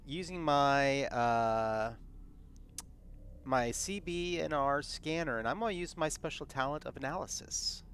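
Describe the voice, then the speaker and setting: A man with a mid-pitched voice talking casually as a player in a tabletop roleplaying game. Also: measured pace, a bit bright, a little hiss or hum.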